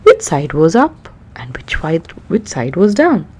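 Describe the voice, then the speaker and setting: A woman's voice: sits low, wildly swooping pitch, measured pace, a little mellow, a little hiss or hum. A woman reading a children's story aloud, chatting and putting questions to young listeners as she explains.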